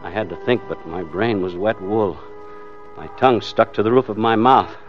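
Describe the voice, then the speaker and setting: A muffled vintage radio recording with faint music behind it. A male actor plays a low-pitched American husband held hostage, wry and terse, telling his hard-boiled story under strain. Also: normal speed, close to an everyday voice. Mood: tense, wry. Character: hard-boiled, anxious married man held hostage, narrating. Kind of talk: old-time radio first-person thriller narration.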